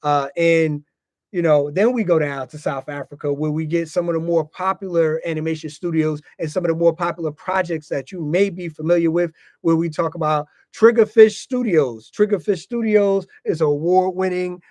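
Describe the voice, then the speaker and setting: An American man with a slightly high voice hosts a casual podcast. He speaks informally, circling back over his phrases and dropping in "you know" often. The sound is dry and close. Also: fairly even intonation, moderate pace, a little mellow, no room sound. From United States. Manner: informal, frequently repeats himself and tags phrases with "you know". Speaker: podcast host.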